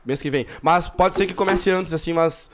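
A man's voice: a little high, normal speed, a little hiss or hum. A man in his twenties from southern Brazil, a podcast guest talking in a hyper, jittery, joking way. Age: 20s. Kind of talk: casual chat.

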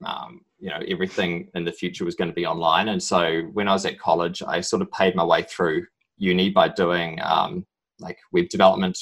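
Deep-voiced New Zealand man, halting. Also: flat delivery, fairly bright tone, husky. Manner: halting, rambling storytelling full of ums. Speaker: podcast guest. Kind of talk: casual interview talk.

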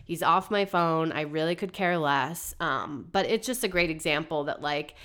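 Woman co-host with a cold, dry sarcastic quips, lower register. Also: fairly bright tone. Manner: sarcastic, quick zingers. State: has a cold, slightly husky. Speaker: podcast co-host. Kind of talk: casual chat. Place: New York.

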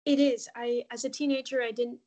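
A woman from Mexico, a podcast guest, talking in a relaxed, chatty way with frequent ums. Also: a little high, a little fast, lo-fi sound. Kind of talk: casual interview conversation.

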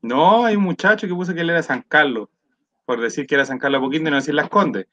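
A Chilean man with a bright, forceful tone talks quickly and trades banter in a casual chat. His voice sits a little high, and the recording is dull and lo-fi. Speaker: podcast co-host.

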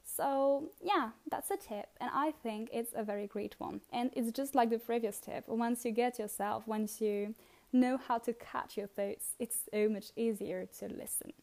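A young woman with a French accent, talking through listening tips on her own podcast in a relaxed, chatty way with many fillers and repeated words. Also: on the high side, a little slow, creaky. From France. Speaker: podcast host. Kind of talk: casual solo podcast advice.

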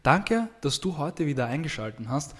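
Male podcast host, slightly high voice, enthusiastic, keeps affirming. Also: very animated, normal speed, a bit bright. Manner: upbeat, enthusiastic, many affirmations. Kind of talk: podcast interview hosting.

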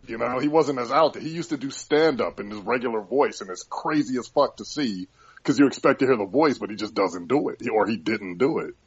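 A man's voice: medium pitch, expressive, fairly quick, slightly rough. A man cohosting a casual podcast, blunt and insistent in his opinions. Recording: dull lo-fi sound.

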